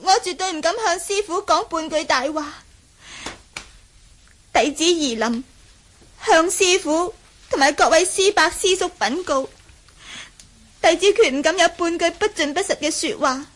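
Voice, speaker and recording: A meek young Buddhist nun in a radio drama. The voice is extremely high, earnest and deferential, like a dutiful disciple addressing elders. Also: female voice, measured pace, long pauses, a bit bright. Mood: earnest, reverent. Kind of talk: Cantonese radio drama acting.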